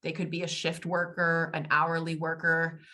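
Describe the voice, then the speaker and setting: Bright American woman, polished guest. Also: deep voice, completely flat delivery, normal speed. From United States. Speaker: guest, tech company expert. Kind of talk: webinar interview.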